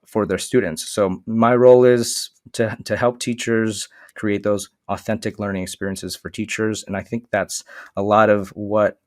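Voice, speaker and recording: A man whose first language is Vietnamese, a guest on a casual podcast interview, explaining his work in an earnest, steady way. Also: on the low side, normal speed, no echo, clean studio sound. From Michigan, USA. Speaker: guest, STEM consultant.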